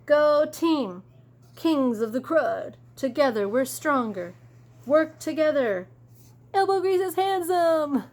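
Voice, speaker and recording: A woman voicing excited storybook fans in an arena, cheering and chanting praise for their heroes. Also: sits high, slow pace, voice pushed up, full character voice. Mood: proud cheering. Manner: exclaiming, cheering.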